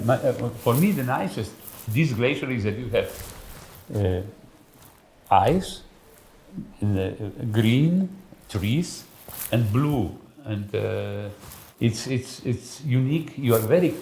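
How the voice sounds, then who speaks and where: Guy, super slow and halting interview talk, echoey with a bit of noise. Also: mid-range voice, lively intonation, creaky, dark tone. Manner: halting, searching for words. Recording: roomy echo, faint ambient noise.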